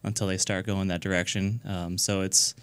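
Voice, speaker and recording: Man, 30s, from New Hampshire. Low, smooth voice; earnest interview guest who restarts often. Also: flat delivery, a bit bright, no room sound. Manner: earnest, halting, many fillers and restarts. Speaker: guest, nonprofit founder. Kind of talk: casual radio interview.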